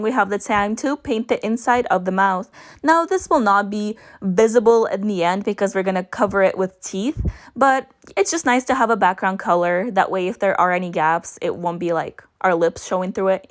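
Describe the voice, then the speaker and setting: A young woman with a raspy voice, narrating a makeup tutorial in a chatty voice-over with storytelling asides. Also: mid-range voice. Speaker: makeup vlogger.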